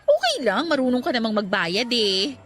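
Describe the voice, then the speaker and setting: An actress playing a bubbly, lovestruck young female student in a radio drama, animated and quick to defend herself. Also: fairly high pitch, soft background music. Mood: sweet.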